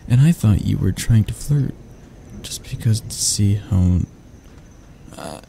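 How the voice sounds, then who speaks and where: A gravelly, frying voice gives us a grumpy barista with a soft spot , played by a man. He is flustered and flirty, halting and teasing as in a romance audio roleplay. His tone is very dark and relaxed, with noticeable noise behind it. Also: fairly low pitch, heavily acted. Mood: stunned, rambling. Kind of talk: romantic ASMR roleplay acting.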